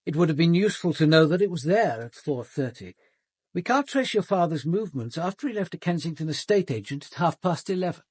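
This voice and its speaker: British police detective guy, formal n probing, lively highish voice, bit husky. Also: fairly quick, dry recording. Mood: composed, probing. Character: composed, probing senior male police detective. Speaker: actor playing a police detective and narrator. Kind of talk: British radio drama acting and narration.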